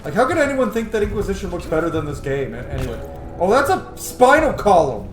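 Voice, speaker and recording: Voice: mid-range voice, very animated, fast. A streamer giving casual, chatty, reactive commentary on a video game, with muttered asides. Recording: roomy echo, game music behind.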